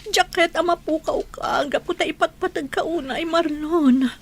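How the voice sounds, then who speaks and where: A woman's voice: very high-pitched, normal speed, slight background noise. A woman playing a worried, devoted wife in an Ilocano radio drama, pleading and fretful. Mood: grieving, pleading.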